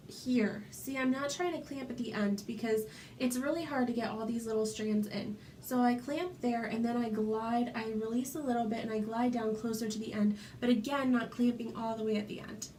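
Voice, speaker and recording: A woman's voice: on the high side, normal speed, warm tone, a little hiss or hum. A woman with a stuffy cold, chatting casually through a hair tutorial at home and saying "um" a lot. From Florida. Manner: chatty, many ums, demonstrating while talking. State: congested with a cold.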